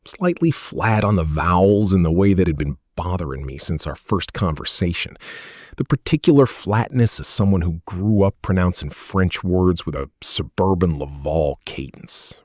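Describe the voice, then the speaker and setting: A man's voice: sits low, moderate pace, a little mellow, husky, dry recording, clean studio sound. A man with a Canadian accent reading a first-person story as scripted audiobook narration.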